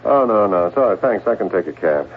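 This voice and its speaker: A man's voice: fairly low pitch, animated, brisk, lightly acted. Male actor as American undercover agent, hesitant, edgy, suspicious, radio drama, narrow tinny recording, light noise. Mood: flustered, friendly. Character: edgy American secret agent posing as stockbroker. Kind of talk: 1970s radio drama acting. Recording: muffled phone-like old radio recording, hiss.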